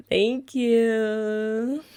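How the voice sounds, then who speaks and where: A woman's voice: on the high side, warm tone, clean tone. A young woman voicing a playful, affectionate girlfriend in an ASMR roleplay, chatting casually with giggles and filler words.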